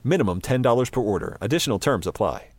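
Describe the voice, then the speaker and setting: A man reading a scripted radio advertisement in an upbeat, smooth manner. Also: mid-range voice, big pitch swings, fast, no echo. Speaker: ad announcer. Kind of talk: scripted ad read.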